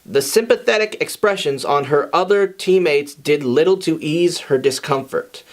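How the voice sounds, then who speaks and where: A man with a voice a little higher than average reads a story aloud as host. His delivery is informal and conversational, like someone sharing fan fiction with viewers. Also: moderate pace. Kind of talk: informal scripted story narration.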